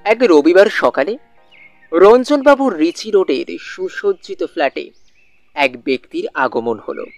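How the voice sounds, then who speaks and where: A man narrating a literary suspense story in a measured way, with deliberate pauses. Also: high voice, expressive, no room sound. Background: soft music.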